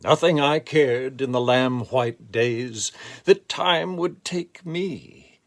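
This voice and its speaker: A man with a gravelly voice speaking in a deliberate, measured way, as a poetry reader reflecting and reciting expressively. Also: mid-range voice. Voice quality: gravelly, creaky voice.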